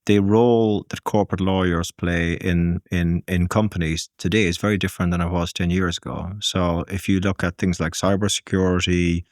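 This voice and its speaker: An Irish man speaking as a business guest in a podcast interview, measured and thinking aloud with frequent ums. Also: sits low, fairly even intonation, darkish tone, no room sound, clean studio sound. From Ireland.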